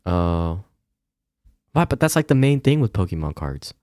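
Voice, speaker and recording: A man's voice: low voice, big pitch swings, moderate pace, a little mellow, no room sound, clean studio sound. A man streaming a visual novel, switching between casual chat and reading aloud, sometimes stumbling over lines. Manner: stumbles and comments while reading. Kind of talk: casual livestream chat and read-aloud narration.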